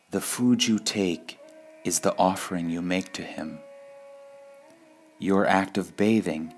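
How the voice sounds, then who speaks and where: Male reader, deep voice, unhurried and deliberate delivery of spiritual quotations, music underneath. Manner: measured, deliberate, with pauses between phrases. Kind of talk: slow devotional quote reading.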